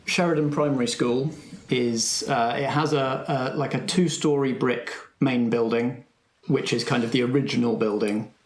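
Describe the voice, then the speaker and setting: A man's voice: medium pitch, fairly even intonation, moderate pace. Man game master, echoey room. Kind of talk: casual improvised narration of a tabletop game.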